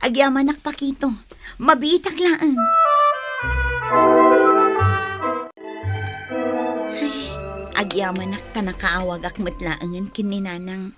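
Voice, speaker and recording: A woman playing an outspoken, wronged village wife in a radio drama, with an expressive, indignant manner. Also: mid-range voice, moderate pace, loud music behind the voice, lightly acted. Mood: polite. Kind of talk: Ilocano radio drama acting.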